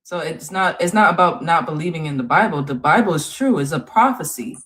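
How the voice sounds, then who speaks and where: A woman co-hosting a religious live-stream panel, explaining to callers in a calm, measured way. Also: deep voice.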